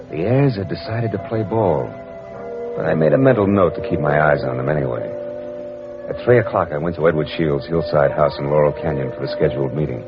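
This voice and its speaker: An American actor playing a tough, wry private detective in hard-boiled 1940s radio style, clipped and world-weary. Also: male voice, extremely low pitch, full character voice. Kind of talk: 1940s radio acting, hard-boiled first-person narration. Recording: dull vintage radio recording with music.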